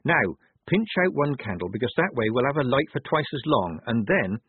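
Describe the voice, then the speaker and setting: A man's voice: medium pitch, very animated, normal speed, slightly rough, dry recording. Man as firm young woman soldier, tinny band. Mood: practical. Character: composed, decisive young woman soldier in disguise. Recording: narrow tinny phone-like audio.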